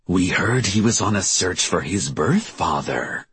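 A man's voice: deep voice, animated, unhurried, fairly bright tone, husky, full character voice. A man voicing a solemn male local TV news anchor with a formal broadcast cadence in an audiobook. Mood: solemn, self-important.

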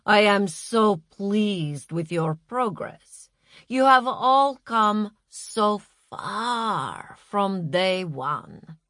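A woman voicing a patronizing, serenely fanatical Russian female wellness guru in an audiobook, speaking with deliberate patience. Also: medium pitch, lively intonation, a bit bright, dry recording, heavily acted. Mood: serene, congratulatory. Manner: slow, deliberate, patient condescension.

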